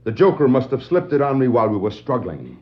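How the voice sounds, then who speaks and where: An actor playing an earnest, commanding superhero detective with stagey, declarative delivery on a 1960s children's adventure record. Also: male voice, medium pitch, very animated, fast, natural voice. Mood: thoughtful. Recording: dull 1960s vinyl record dramatization.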